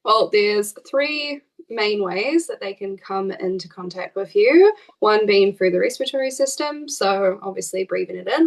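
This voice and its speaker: Gravelly woman guest, slightly off-mic. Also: mid-range voice, far from the mic, poor audio. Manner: measured, explanatory, many "um"s. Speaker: skin expert guest. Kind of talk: casual chat.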